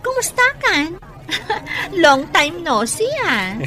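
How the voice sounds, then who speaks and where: A woman playing an excitable, chirpy friend in an Ilocano radio drama, with an Ilocano Filipino accent. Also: very high voice, very slow, faint music behind, heavily acted. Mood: delighted.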